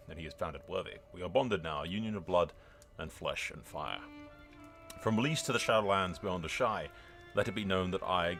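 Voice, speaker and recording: Man acting a grave, low-voiced young fantasy king. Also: fairly bright tone. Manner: grave, declamatory. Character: proud, solemn young boy king and dragon rider. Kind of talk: dramatic fantasy game roleplay monologue.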